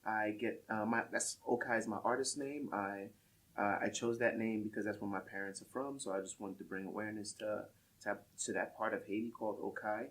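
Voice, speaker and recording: A man raised in Brooklyn, New York introduces himself as a percussionist in an interview. His voice sits somewhat low, and he speaks in a relaxed, measured way, pausing as he finds his words. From United States (Brooklyn, New York). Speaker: percussionist and guest.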